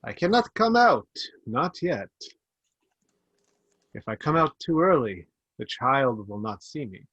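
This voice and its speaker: A man putting on a sinister, hissing bat-like vampire, its voice lifted high and loud above his usual narration. It sounds hungry and lurking, as in a tabletop game. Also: big pitch swings, takes it slowly, husky, no room sound, muffled recording, exaggerated voice.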